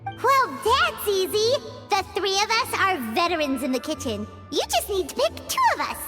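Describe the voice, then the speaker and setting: Squeaky, excitable little fairy companion. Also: female voice, normal speed, loud music behind the voice. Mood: cheerfully confident. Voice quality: squeaky, childlike. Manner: exclamatory, speaks of self in third person. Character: small excitable childlike female fairy companion, curious and naive. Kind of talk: video game character acting.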